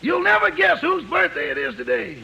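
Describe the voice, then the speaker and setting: A man playing a devoted, cheeky household servant with a raspy voice, wisecracking emphatically in a 1950s radio comedy. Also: very high voice, huge pitch swings, moderate pace, bright, forceful tone, exaggerated voice. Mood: bustling, excitable. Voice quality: gravelly, raspy. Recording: very noisy 1950s radio recording.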